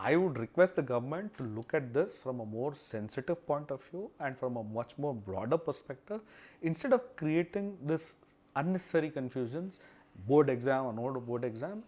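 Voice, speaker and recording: A man's voice: medium pitch, expressive, quick pace, darkish tone. A man with an Indian accent giving his opinions in a podcast discussion, emphatic, asking rhetorical questions and repeating phrases for stress. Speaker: school chairman, interview guest.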